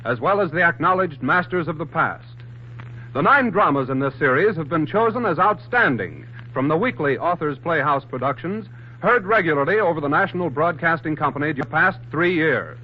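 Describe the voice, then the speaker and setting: A man narrating and announcing in scripted 1940s radio drama style. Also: on the high side. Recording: muffled vintage 1940s radio recording, music behind.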